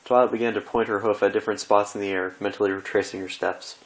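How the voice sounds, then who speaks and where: A man narrates fan fiction in a fairly low voice. He reads from a text as an amateur would. His delivery is a little halting, with occasional stumbles over the wording. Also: steady tone. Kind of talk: scripted story narration.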